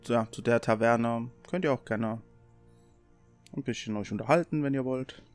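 A man narrating a tabletop game as game master in an informal, halting way. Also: mid-range voice. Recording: online voice call, background music.

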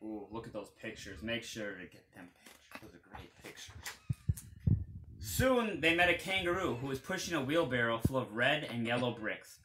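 A man in his thirties reading a children's story aloud expressively, with dramatic, drawn-out emphasis. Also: medium pitch, sing-song, noisy background. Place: indoors against a panelled wall.

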